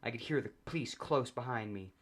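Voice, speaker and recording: A man reading a comedic first-person story aloud as narrator, in a deadpan manner. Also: mid-range voice, brisk.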